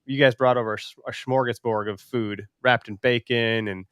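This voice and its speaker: A man's voice: medium pitch, steady tone, moderate pace, fairly bright tone, clean tone, no room sound. A man hosting a podcast talks in a mid-range voice with a dry, close sound. He chats informally and banters, dropping the odd swear word. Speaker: podcast host. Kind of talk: casual chat.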